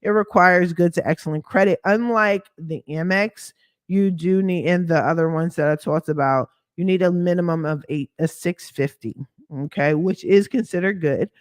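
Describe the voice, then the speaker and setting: A woman whose voice sounds hoarse and deeper than usual from a cough, running a credit repair business and talking through a casual screen-share walkthrough in a candid, slangy way with sassy asides. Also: moderate pace, no room sound, studio quality.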